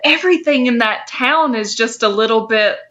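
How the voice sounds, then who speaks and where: A woman's voice: on the high side, normal speed, pushed and bright, dull audio. A woman co-hosting a podcast, discussing a TV show in an informal, emphatic way with some swearing. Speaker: podcast co-host.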